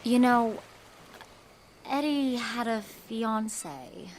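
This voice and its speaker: A woman voicing a guarded young woman in an audio drama, quiet and wry about her grief. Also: on the high side, drawn-out words, a little hiss or hum, low-quality recording. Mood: wistful. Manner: dry, wry, subdued.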